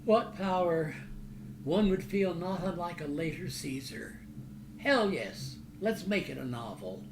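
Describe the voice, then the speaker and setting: A man gives a theatrical author's reading with a slow, deliberate delivery. His voice sits a little high and sounds declamatory and dramatic. The recording has loud background noise. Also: expressive, roomy sound. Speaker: author reading from own novel.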